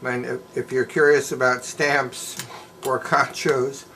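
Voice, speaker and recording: A middle-aged man talks slowly through his handiwork at a bench, a little hoarse. His voice sits at an ordinary middle pitch and comes through a camera mic. A light background noise hangs behind him. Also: flat delivery, sharp tone. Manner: plain, folksy, unhurried explaining with fillers. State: slightly hoarse, losing his voice. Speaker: leatherworker showing his work. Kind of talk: casual show-and-tell demonstration. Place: indoors at a workbench.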